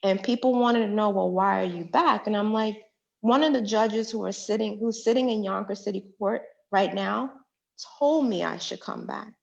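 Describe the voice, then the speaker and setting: An earnest woman lays out her case in a radio interview, stacking her points in repeated phrases. Her mid-pitched voice carries a gravelly fry, and the recording sounds dull and lo-fi. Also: moderate pace. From Jamaica, Queens, New York. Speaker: judicial candidate and public defender.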